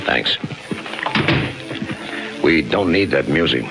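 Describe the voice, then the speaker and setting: An actor playing a cynical, world-weary homicide detective in a 1950s radio crime drama, clipped, deadpan and sardonic. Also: male voice, very deep voice, takes it slowly, gravelly, exaggerated voice. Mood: wry, matter-of-fact. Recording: 1951 radio broadcast with music behind.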